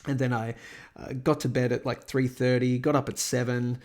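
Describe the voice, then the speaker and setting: A man with a croaky voice from a cold, talking casually as the host of a market commentary and chart walkthrough. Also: medium pitch, flat delivery, moderate pace, darkish tone. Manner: rambling, lots of fillers and restarts. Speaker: host of a crypto price analysis channel.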